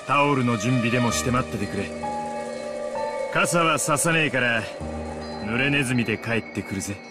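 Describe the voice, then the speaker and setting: An actor playing a bold, cocky middle-aged man who talks in a rough, casual way. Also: medium pitch, expressive, fast talker, over music, lightly acted.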